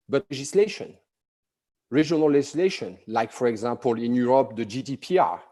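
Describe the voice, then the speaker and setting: A middle-aged man with a thick French accent presents at a conference in a measured way, often pausing on "uh". His voice sits at an ordinary middle pitch. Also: a little mellow. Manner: measured, frequent "uh" fillers. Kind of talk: prepared conference talk.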